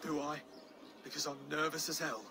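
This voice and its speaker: A man playing an earnest, nervous young king-to-be in a game cutscene, soft-spoken and humble. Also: a little high, little pitch movement, normal speed, gravelly. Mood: nervous, grateful. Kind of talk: dramatic game cutscene acting.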